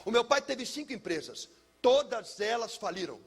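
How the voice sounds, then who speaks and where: A Brazilian man speaking as a pastor, telling a personal testimony in an emphatic, theatrical way with deliberate repetition. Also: very high-pitched, big pitch swings, breathy edge. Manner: emphatic, theatrical, uses pauses and repetition for effect. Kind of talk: personal testimony, dramatic storytelling to listeners.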